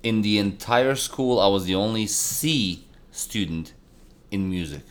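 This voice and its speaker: A man with a deep voice co-hosting a podcast, chatting casually and telling playful stories. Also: unhurried. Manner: animated storyteller, playful. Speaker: podcast co-host. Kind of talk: casual chat.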